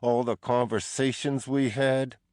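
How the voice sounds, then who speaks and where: A man's voice: mid-range voice, steady tone, a little mellow, no echo, studio quality, pitched above the performer's own voice, natural voice. A man doing a battered, bitter male prisoner. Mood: bitter, reproachful. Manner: slow, drawn-out accusations rising to anguished outbursts.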